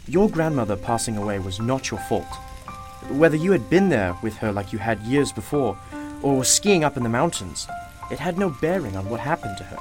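Man acting a courteous, expressive, mysterious shopkeeper. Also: mid-range voice, fairly quick, soft background music, close to an everyday voice. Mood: probing. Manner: formal, courteous, reassuring. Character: gentle, wise, mysterious ageless gentleman shopkeeper. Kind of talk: radio play acting.

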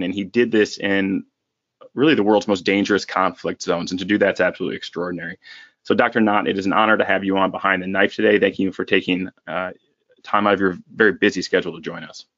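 A man's voice: low voice, a bit bright, dry recording. A man hosting a podcast, reading a guest's bio with admiration and asking questions, hesitating with frequent uhs. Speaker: podcast host. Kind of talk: interview intro and questions. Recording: dull, dry sound.

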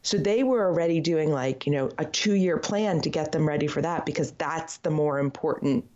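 A woman's voice: sits low, normal speed, a little mellow. A woman with a Midwestern accent co-hosting a podcast, chatting and asking her co-host questions. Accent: American Midwestern. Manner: conversational, asks questions, repeats for emphasis. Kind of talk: casual chat. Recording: dull lo-fi sound.

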